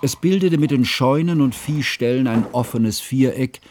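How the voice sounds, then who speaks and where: An actor playing an ageing scholarly schoolmaster who narrates a radio drama in a measured, pedantic, ornate way. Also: male voice, medium pitch, a little mellow, a little hiss or hum, lightly acted. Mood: descriptive, measured. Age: older. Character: ageing scholarly schoolmaster, humane, ceremonious, reflective. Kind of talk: literary first-person radio drama narration.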